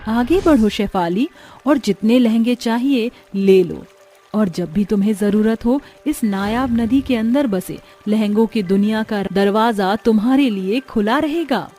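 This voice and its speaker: A storybook fairy queen, acted out by a woman, speaks with formal grandeur and gracious welcome. Her voice is fairly high, and music plays along with it. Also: unhurried, mellow. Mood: regal, gracious. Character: gracious magical fairy queen of a river world. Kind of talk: dramatised storybook narration.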